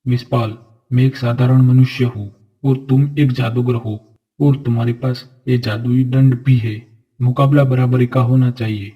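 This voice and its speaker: Husky, earnest royal courtier played by a man, muffled. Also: medium pitch, flat delivery, moderate pace. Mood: firm, defiant. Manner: formal, solemn, earnest. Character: noble, earnest men of a royal fairy-tale court. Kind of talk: Hindi animated fairy-tale acting.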